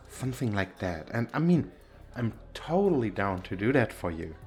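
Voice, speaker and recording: Man playing a slow, vain male model. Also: fairly low pitch, a little mellow, exaggerated voice. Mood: smug, boastful. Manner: boastful, theatrical, coquettish, with drawn-out pauses. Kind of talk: flirtatious ASMR roleplay monologue.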